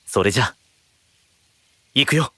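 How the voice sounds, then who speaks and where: Expressive young knight, gently earnest. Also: male voice, medium pitch, unhurried, breathy edge, dry recording, heavily acted. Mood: ready. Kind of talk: Japanese anime-style audio drama acting.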